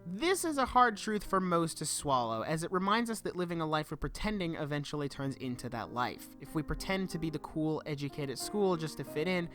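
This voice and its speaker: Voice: medium pitch, big pitch swings, fairly quick, hardly any pauses, a bit bright. A young adult giving an earnest, reflective scripted narration for a video essay. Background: background music.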